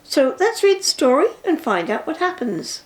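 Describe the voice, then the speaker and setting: An older woman, a grandmother, reading a children's story aloud with expressive narration. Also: sits high, normal speed.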